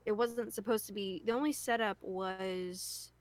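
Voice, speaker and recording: Woman, co-host, casual conversational talk, medium pitch. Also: steady tone, unhurried, no echo. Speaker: co-host and scriptwriter. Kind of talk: casual group chat.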